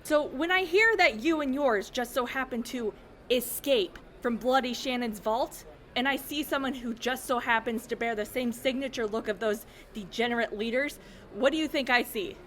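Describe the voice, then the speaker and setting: A woman acts a hostile female enforcer in a wasteland audio drama. Her voice sits fairly high, and she speaks with sneering authority, accusing and suspicious of outsiders. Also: moderate pace, fairly bright tone, slight background noise, natural voice. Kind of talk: post-apocalyptic audio drama acting.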